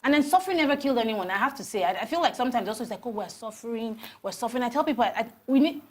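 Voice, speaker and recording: A Nigerian woman in her forties talks as a guest on a TV morning show. She speaks quickly and tells her stories with animated energy. She often restarts her sentences as the thoughts come. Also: on the high side. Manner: animated storytelling, many restarts. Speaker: guest, HR consultant. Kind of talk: TV interview conversation.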